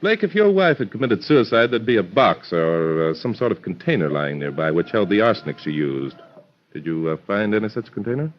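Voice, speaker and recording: An actor playing a shrewd, self-possessed American private detective in an old radio mystery, probing and wry. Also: male voice, on the low side, sing-song, fairly quick. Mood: businesslike. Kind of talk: 1940s radio acting. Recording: dull vintage radio recording.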